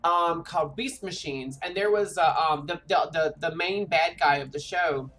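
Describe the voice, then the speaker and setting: A man in his thirties with a light, high voice, telling stories in animated, chatty gameplay talk. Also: normal speed. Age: 30s. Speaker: streamer. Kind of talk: casual gameplay chat. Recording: voice a bit off-mic with faint game music.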